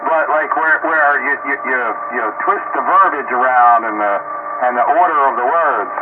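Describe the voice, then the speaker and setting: A man's voice: mid-range voice, normal speed, narrow, tinny audio. A man in casual, rambling conversation over a two-way radio link. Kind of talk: casual chat. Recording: distant, very band-limited, noisy radio. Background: clear radio static and noise.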